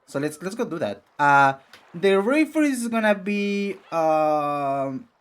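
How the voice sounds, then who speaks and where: A young man with a raspy voice streaming casually, rambling with many fillers and restarts. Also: sits high, lively intonation, slow. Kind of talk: casual live-stream chat and reading game text.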